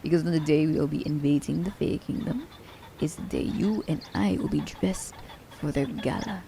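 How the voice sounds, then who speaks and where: A woman playing a cunning, haughty immortal assassin in an ASMR audio drama, speaking in theatrical, formal diction, breathy and often dropping toward a whisper. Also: low voice, normal speed, mellow, slight background noise. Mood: scheming, disdainful. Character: immortal female assassin, cunning, teasing, haughty, romancing her captive.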